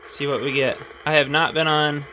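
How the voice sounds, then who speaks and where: A man thinking aloud in a relaxed way as he tests his CB radio in a garage. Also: a little high, normal speed, a little hiss or hum, rough recording. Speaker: radio hobbyist demonstrating his CB radio. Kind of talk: casual hobby video narration. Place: home garage.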